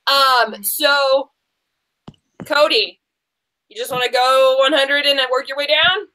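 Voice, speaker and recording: A woman with a high, bright voice runs a casual quiz. She talks in a chatty, animated way and teases the contestants as she reads out clues and answers. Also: slow, rough recording. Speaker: quiz show host. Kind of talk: casual bookish quiz hosting.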